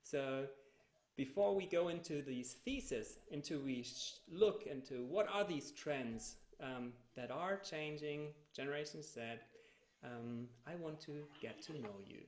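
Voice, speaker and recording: A man with a German accent hosts a panel over a video call. His voice sits a little high for a man and rings in an echoey room. He phrases things courteously, if haltingly. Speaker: youth researcher hosting an online panel. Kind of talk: moderating a group video talk.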